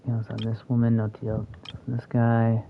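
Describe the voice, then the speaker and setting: A man livestreaming a game, muttering short, casual reactions in a gravelly voice. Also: mid-range voice, completely flat delivery, a little fast, narrow, tinny audio, slight background noise. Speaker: livestreaming gamer.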